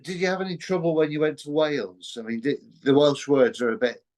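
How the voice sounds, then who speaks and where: A man hosting a casual interview, speaking in a hesitant, halting way. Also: on the high side, expressive, darkish tone. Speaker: interview host.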